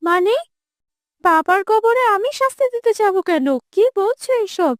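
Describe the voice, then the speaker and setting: A woman's voice: very high-pitched, animated, unhurried, no room sound, clean studio sound. A woman voicing a headstrong but devoted young daughter in a cartoon drama, emphatic and earnest. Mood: shocked. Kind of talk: animated moral-drama dubbing.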